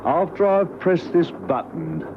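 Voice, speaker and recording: A man playing a gloating, coolly menacing villain in a classic spy radio drama, speaking in a measured way. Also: fairly high pitch, very animated. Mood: gloating menace. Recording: noisy, muffled phone-like vintage radio recording.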